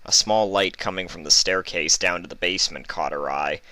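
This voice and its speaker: Low-voiced, bright man reading a story. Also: moderate pace, no room sound. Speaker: fan fiction reader. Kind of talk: scripted story narration.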